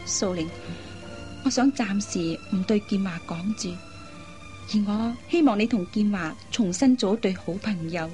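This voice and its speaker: A woman playing a kind, persuasive young elder sister in a Cantonese radio drama, coaxing and teasing in drawn-out phrases. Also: medium pitch, mellow, soft background music, lightly acted. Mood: gentle, persuasive.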